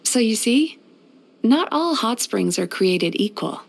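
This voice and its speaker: A woman voicing a gentle, caring, centuries-old dream-eating spirit who works as a therapist in a video game, speaking in a soothing, polite way. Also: fairly high pitch, expressive, slow, vocal fry, exaggerated voice. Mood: chatty, thoughtful. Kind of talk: video game character voice lines.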